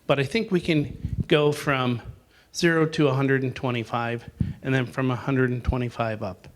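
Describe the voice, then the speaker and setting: A man's voice: mid-range voice, fairly even intonation, moderate pace. A man leading a campground owners' discussion in a meeting room, casual and rambling, with many fillers and restarts. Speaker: discussion group leader.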